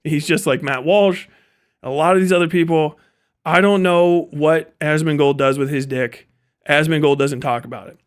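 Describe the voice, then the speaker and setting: A man's voice: on the high side, moderate pace, clear, resonant tone. A man giving off-the-cuff livestream commentary in a blunt, profane, sardonic way. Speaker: live streamer.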